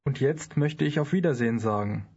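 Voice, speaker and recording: Guy saying lesson phrases slow and careful, raspy, muffled tape. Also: medium pitch, darkish tone. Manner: careful, deliberate pronunciation. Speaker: native speaker model in a language course. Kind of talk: scripted phrase repetition. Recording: dull lo-fi studio recording.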